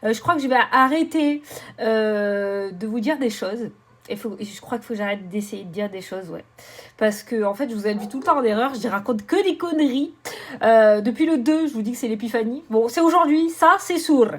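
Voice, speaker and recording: A chatty woman talks straight to her camera, bubbly and self-mocking, cursing now and then. Her voice sits a little higher than most women's. She runs a card-reading vlog. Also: normal speed. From southern France. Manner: animated, swearing, laughing, self-mocking. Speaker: tarot card reader.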